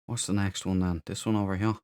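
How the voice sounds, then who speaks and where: A man's voice: deep voice, level tone, fast, darkish tone, clear, resonant tone, dry recording, clean studio sound. A man giving casual, jokey let's play commentary, often muttering to himself. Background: video game music.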